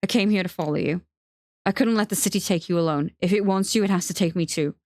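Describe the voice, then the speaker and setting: A woman voicing a frightened, remorseful young man in love, pleading in a wavering, halting way in an audiobook. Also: fairly low pitch, darkish tone, dry recording, studio quality, close to an everyday voice. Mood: earnest, remorseful.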